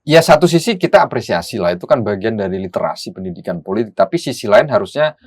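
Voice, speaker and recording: A man's voice: fairly low pitch, sing-song, fast talker, breathy edge, no echo, studio quality. A man giving analytical political commentary as a guest, explaining things in formal, academic vocabulary.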